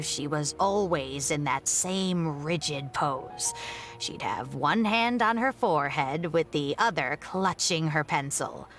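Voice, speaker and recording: An actress playing an elderly woman scholar in a video game, composed and reminiscing in a measured, wry manner. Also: deep voice, fairly bright tone. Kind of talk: scripted video game dialogue.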